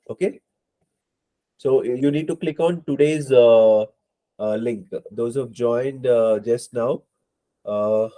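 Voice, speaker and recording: A man's voice: medium pitch, warm tone, low-quality recording. A man with a cold teaching an online exam-prep class, hesitant, with many fillers and apologies. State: hoarse from a cold. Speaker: IELTS instructor.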